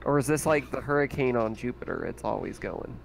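A man's voice: mid-range voice, animated, fairly quick, creaky. Man in casual, faintly noisy game chat. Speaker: player in a tabletop roleplaying game. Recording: slightly noisy voice-chat recording.

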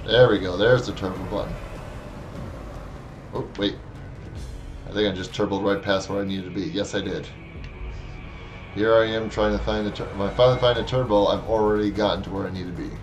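A man's voice: fairly low pitch, fairly even intonation, fairly quick, long pauses, muffled recording, over music. A man in his thirties from Wisconsin, with a Wisconsin accent, chatting casually and wryly while streaming a game from his room on a headset mic. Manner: chatty, wry, talks back to the game. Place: small room at home.

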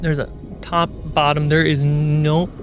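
A man narrating a tabletop game as game master, telling the story deliberately and dramatically with pauses. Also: fairly high pitch, level tone. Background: background music.

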